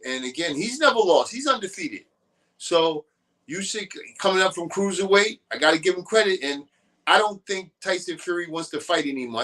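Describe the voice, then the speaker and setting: A man's voice: on the high side, sharp tone, rough recording. A man as a guest on a boxing talk show, giving loose and opinionated casual talk.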